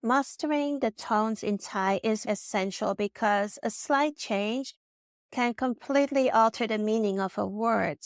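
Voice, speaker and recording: A woman's voice: a little high, unhurried, smooth, no echo, studio quality. A woman from the Netherlands with a Dutch accent, narrating a personal vlog in an earnest, reflective way. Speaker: vlogger.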